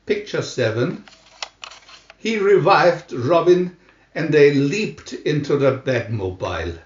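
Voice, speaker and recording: A man narrating a scripted storybook in a deliberate, theatrical announcing manner. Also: fairly high pitch, lively intonation. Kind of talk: dramatic scripted storybook reading. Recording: dull lo-fi, roomy echo.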